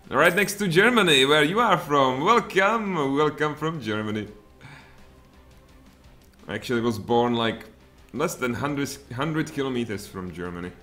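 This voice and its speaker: A man in his thirties with a Czech accent, streaming a strategy game from home in lively, muttered commentary with sudden outbursts. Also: mid-range voice, measured pace, soft background music. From Czech Republic. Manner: animated, muttering asides, sudden exclamations. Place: home room, indoors.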